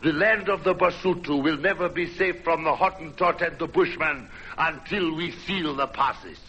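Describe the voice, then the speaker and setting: A man's voice: on the high side, moderate pace, very noisy, close to an everyday voice. An actor playing a proud, embattled leader rallying his people in declamatory old radio drama oratory. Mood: indignant rallying. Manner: declamatory, forceful.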